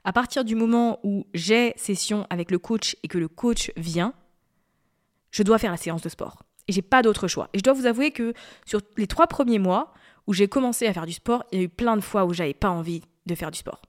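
A woman in her thirties talks to her listeners in a relaxed, confiding podcast chat. She drifts from point to point in a chatty way, at a medium pitch. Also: moderate pace. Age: 30s. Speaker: podcast host. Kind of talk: casual solo podcast talk.

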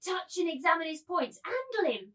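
Woman acting a high-voiced, domineering, mocking brothel keeper. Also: very animated, normal speed, a bit bright, full character voice. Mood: gleeful salesmanship. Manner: commanding, taunting, theatrical. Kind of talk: dramatised literary reading.

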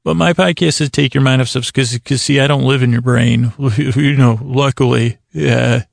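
American male host, mid-pitched and gravelly, halting comedic monologue that keeps wandering, very dry sound. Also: steady tone, no echo. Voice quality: creaky. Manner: meandering, halting, trails off mid-thought. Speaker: podcast host. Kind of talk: rambling comedic bedtime monologue.

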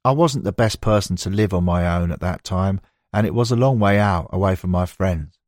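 A man's voice: low-pitched, moderate pace, a little mellow, no room sound, clean studio sound. A man reading scripted narration as an audiobook narrator, moving between prose and quoted recollections.

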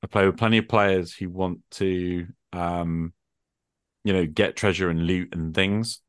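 A man's voice: low voice, steady tone, measured pace, clean tone, dry recording, studio quality. A middle-aged man in a casual, extended conversation, thinking aloud in a reflective, hesitant way. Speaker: podcast host. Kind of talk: extended casual conversation about role-playing games.

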